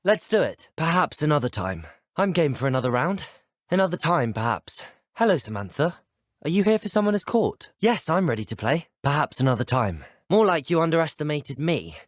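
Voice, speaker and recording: Young british guy a curious well-mannered wizard schoolboy for a video game, really animated pitch, dry studio sound. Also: brisk, no room sound. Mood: upbeat, confident. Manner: courteous, well-spoken, formal phrasing. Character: polite, curious, self-assured teenage male wizard student. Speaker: player character in a fantasy video game. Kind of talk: scripted video game voice acting.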